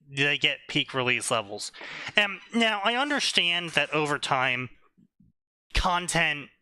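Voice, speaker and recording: A man co-hosting a casual stream, talking slowly and deliberately, often checking "right?". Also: fairly high pitch, sharp tone, no room sound. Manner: explanatory, slow and deliberate, asks "right?" often. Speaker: stream co-host.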